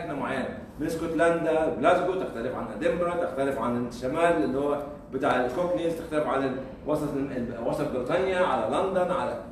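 An Egyptian man with an Egyptian accent giving an informal university lecture, throwing out rhetorical questions, repeating himself and chuckling. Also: fairly high pitch. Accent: Egyptian Arabic. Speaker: guest lecturer. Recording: echoey room, mic far off, faint noise.

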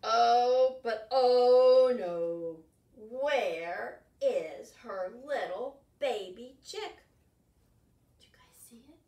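An older woman hosting a storytime for young children, with an expressive, exaggerated delivery. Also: a little high, very slow. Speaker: library storytime host. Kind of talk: children's storytime, reading aloud and singing. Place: carpeted living room at home. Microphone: camera a few feet away. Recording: roomy, distant mic, some background noise.

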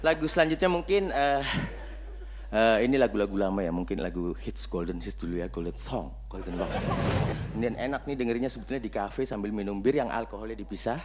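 A man's voice: fairly low pitch, fairly quick. A middle-aged man with a guitar on a TV comedy stage, chatting unhurriedly between songs into a mic on a stand. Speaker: musical comedian. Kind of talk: singing with guitar between jokes. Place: tv studio comedy stage with a live audience. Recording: loud guitar and crowd.

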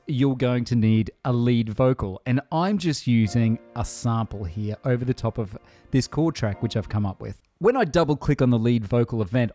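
Male software tutorial narrator, soft-edged warm tone, casual and conversational delivery. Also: medium pitch. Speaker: tutorial presenter.

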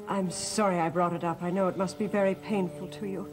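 A woman's voice: on the low side, fairly even intonation, fairly quick, close to an everyday voice, rough recording. An actress playing a poised, probing woman in a 1960s television soap, genteel and theatrical, with a sympathetic veneer. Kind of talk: 1960s TV soap opera acting. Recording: vintage TV soundtrack, music clearly behind.

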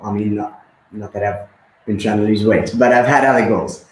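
A man's voice: on the low side, fairly even intonation, normal speed, a little mellow, echoey room, slight background noise, poor audio. A man in his thirties from Zimbabwe, a guest chatting casually with many fillers and repeats. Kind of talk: casual conversation.

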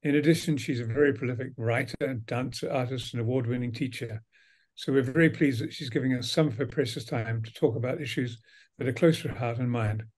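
A man in his seventies or older hosting a video call interview in a formal, courteous, measured way. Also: medium pitch, darkish tone, poor audio. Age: 70s or older. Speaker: host, institute director. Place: indoor book-lined study, video call.

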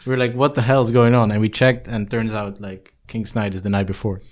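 A man's voice: lowish voice, level tone, brisk, a little mellow. Young man, podcast guest, easygoing, slangy, storytelling. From Netherlands. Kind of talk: casual chat.

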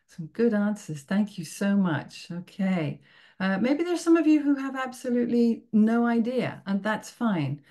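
A woman's voice: fairly high pitch, dark tone. A middle-aged woman from the United Kingdom with a British accent, giving an online workshop to teachers in a friendly, encouraging way. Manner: friendly, encouraging, checks in with "okay?" often. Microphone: video call.

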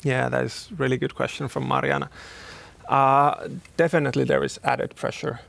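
A man's voice: mid-range voice, little pitch movement, moderate pace, vocal fry, fairly bright tone, no echo. A man in his thirties, a company CEO, answering panel questions in a hesitant way with many restarts and fillers. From Finland.

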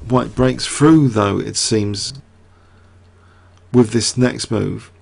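A middle-aged man commentating live on a chess game, talking through the moves and quizzing his viewers, his voice getting croaky. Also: fairly low pitch, drawn-out words, darkish tone.

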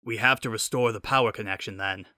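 A man voicing a gruff, fatherly old male hedgehog mechanic in a story reading, stern and scolding. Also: fairly low pitch, fast, sharp tone, no echo, clean studio sound. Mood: concerned.

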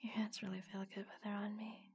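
A woman playing a flirtatious, teasing office lover in an intimate ASMR roleplay, breathy and husky, often dropping to a whisper. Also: mid-range voice, level tone, darkish tone. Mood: sultry, hushed. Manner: slow, coy, teasing, giggly. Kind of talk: ASMR roleplay, intimate romantic audio drama.

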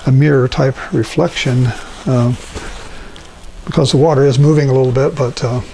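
An older male painting instructor with a gravelly, fry-laden voice at a middle pitch. He talks casually and thinks aloud through his strokes, with plenty of ums, and a faint hiss runs under the recording. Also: fairly even intonation, normal speed, dark tone, poor audio. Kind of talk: live painting demonstration. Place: art studio at a painting table.

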